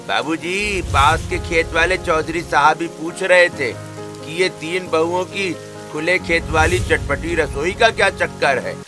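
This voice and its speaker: A man's voice: fairly high pitch, a little slow, music in the background, lightly acted. A man voicing a respectful village farm worker in a cartoon story.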